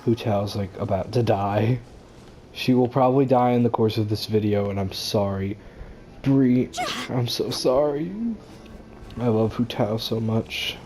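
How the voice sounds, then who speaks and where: A man's voice: fairly low pitch, steady tone, a little slow, mellow, a little hiss or hum. A young man giving casual, blunt and sarcastic reaction commentary as he plays through a video game, swearing freely. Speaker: gamer recording a playthrough. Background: video game sound.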